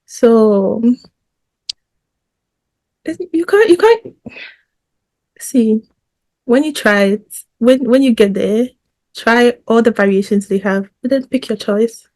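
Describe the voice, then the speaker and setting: A woman's voice: a little high, animated, takes it slowly, long pauses, a little mellow. A woman from Ghana on a podcast panel, answering playfully and briefly. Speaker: podcast guest. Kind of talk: casual panel chat.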